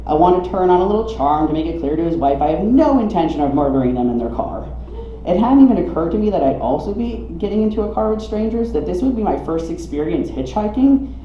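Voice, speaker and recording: A man's voice: sits high, expressive, fast talker, warm tone. A man from the southeastern United States reads his own humorous memoir to a live room, with a dramatic, wry comic delivery. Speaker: storyteller reading own memoir. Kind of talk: live reading of a humorous personal narrative. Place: coffee shop reading event. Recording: muffled roomy live recording, some noise.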